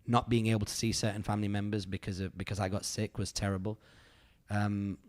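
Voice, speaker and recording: A man's voice: on the low side, flat delivery, a little fast, darkish tone. A man, hosting a podcast and reflecting on his year in a rambling, earnest way full of fillers. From United Kingdom. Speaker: podcast host. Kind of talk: casual reflective chat.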